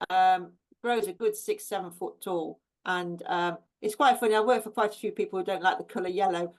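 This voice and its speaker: A woman's voice: lowish voice, steady tone, brisk, low-quality recording. A woman who gardens for a living talks about her plants over a video call. She is chatty and easygoing, and her sentences break off and restart around little ums. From England. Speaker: professional gardener, guest.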